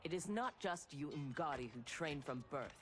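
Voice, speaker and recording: An actress playing a proud, determined young clan-trained fighter in a video game, earnest and assertive. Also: female voice, low voice, lively intonation, moderate pace, a little hiss or hum. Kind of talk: dramatic video game acting. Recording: loud music over the voice.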